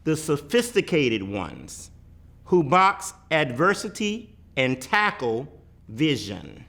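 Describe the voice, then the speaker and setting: A man giving a dramatic read-aloud of a poetic picture book, with deliberate, emphatic phrasing and weighty pauses. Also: a little high, big pitch swings, gravelly, sharp tone. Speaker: reader of a children's picture book.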